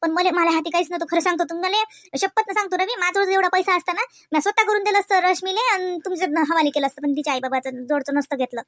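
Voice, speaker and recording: Woman acting fast, high-pitched, coaxing Marathi sister-in-law. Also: steady tone, a bit bright, no room sound, studio quality. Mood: earnest, insistent. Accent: Vidarbha Marathi. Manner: coaxing, preachy, frequent questioning tags. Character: earnest, persuasive sister-in-law counselling a young man. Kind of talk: cartoon dialogue in rural dialect.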